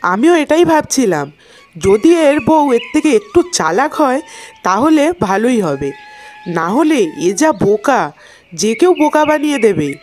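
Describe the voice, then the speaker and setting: A worried village mother in a cartoon fable, played by a woman. Her high voice moves with lively intonation as she frets and urges, with faint music underneath. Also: measured pace. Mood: fretful, urging. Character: worried middle-aged village mother, practical, scheming helpfully. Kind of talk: cartoon moral-tale character acting.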